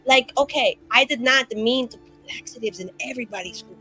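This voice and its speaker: A woman's voice: fairly high pitch, a little fast, bright, forceful tone, soft background music. A woman playing a tabletop game, rambling through in-character banter with profanity and giggles. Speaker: player voicing a mage character.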